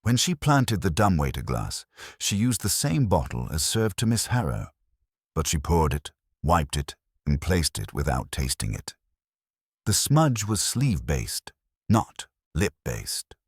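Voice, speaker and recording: A man's voice: deep voice, sing-song, unhurried, slightly rough, no room sound, studio quality, exaggerated voice. A man voicing a pompous, brooding, exacting genius detective in a storybook reading. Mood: ruminating, grave.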